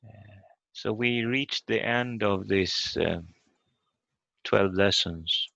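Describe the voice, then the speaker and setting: A man teaching an online language lesson in a halting, hesitant way over a muffled call. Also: lowish voice, vocal fry, sharp tone, dry recording.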